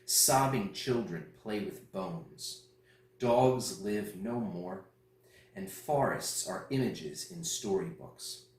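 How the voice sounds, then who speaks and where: A man's voice: fairly low pitch, lively intonation, slow. A man reciting poetry as a reader at a festival. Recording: roomy echo, distant mic.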